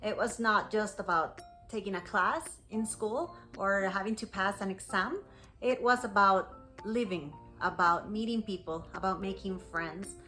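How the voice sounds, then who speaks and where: A woman English teacher speaks in a bright, forward voice with a Costa Rican Spanish accent. She tells her story to learners in clear, encouraging phrases, at a medium pitch, with music in the recording. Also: rough recording. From Costa Rica. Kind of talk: personal story told to learners.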